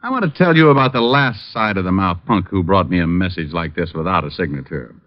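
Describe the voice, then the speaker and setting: An actor playing a glib, sardonic, cocky private eye in 1940s radio acting style. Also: male voice, deep voice, animated, fast, no echo. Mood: taunting. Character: wisecracking, flirtatious, cocky male private eye. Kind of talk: 1940s radio acting with first-person narration. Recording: muffled vintage radio recording.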